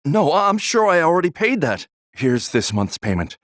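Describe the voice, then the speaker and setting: A man's voice: a little high, big pitch swings, normal speed, fairly bright tone, dry recording, clean studio sound. An actor playing a nervous, placating townsman in debt in a video game. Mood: anxious. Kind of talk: video game character voice acting.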